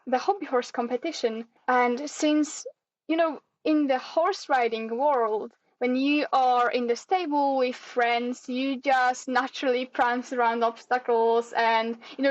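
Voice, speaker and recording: A Polish woman in her twenties with a Polish accent, chatting as a guest in an interview. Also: on the high side. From Poland. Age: 20s. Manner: friendly, chatty with frequent fillers. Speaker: guest, hobby horse competitor. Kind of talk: casual interview. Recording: dull lo-fi audio.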